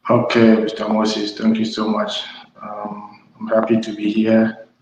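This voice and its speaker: A young man with a Ghanaian accent speaking as an interview guest, halting and often repeating words. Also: on the low side, completely flat delivery, background noise. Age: young adult. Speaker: webinar guest, PhD student. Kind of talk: informal interview, introducing himself. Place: study room, online call. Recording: echoey room, muffled online call audio.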